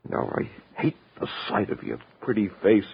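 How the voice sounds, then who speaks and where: Low, gravelly, brooding lovesick man. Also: big pitch swings. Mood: bitter, brooding. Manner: halting, brooding, broken phrases. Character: embittered, lovesick man menacing the woman who hurt him. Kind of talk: 1950s radio drama acting. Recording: muffled old radio recording with background noise.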